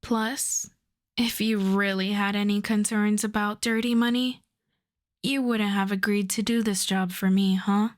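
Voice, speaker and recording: Slow-talking, coaxing supervillain played by a woman. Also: medium pitch, fairly even intonation, natural voice. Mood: coaxing, sheepish. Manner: unhurried, teasing, conversational. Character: infamous female supervillain, wry, coaxing, flirtatious costume client. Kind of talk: intimate ASMR roleplay audio drama.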